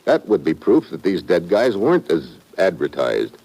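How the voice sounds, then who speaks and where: Deep-voiced hard-boiled American agent. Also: male voice, wildly swooping pitch, moderate pace, dark tone, exaggerated voice. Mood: shrewd. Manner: clipped, slangy, tough-guy delivery. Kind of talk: old-time radio thriller acting and first-person narration. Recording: noisy old radio drama recording.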